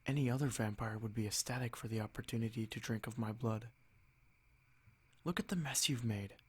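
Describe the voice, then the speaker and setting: A man playing a noble vampire lord in an ASMR roleplay, haughty and possessive, speaking in a measured, condescending, softly threatening way. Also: medium pitch, slightly rough, lightly acted. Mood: annoyed, menacing.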